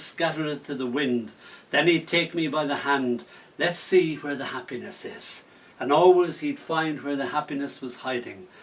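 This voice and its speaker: A man performing spoken word poetry, telling stories between poems in a slow, lyrical delivery that drops to soft whispers. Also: medium pitch, slight background noise. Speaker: poet performing his poems. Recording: voice from a distance off the mic.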